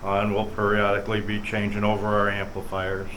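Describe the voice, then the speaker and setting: A middle-aged man informally presenting products as an exhibitor, halting and matter-of-fact. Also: fairly low pitch, completely flat delivery, a bit bright, rough recording. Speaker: loudspeaker exhibitor. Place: large carpeted hotel exhibit room. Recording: background show-room noise.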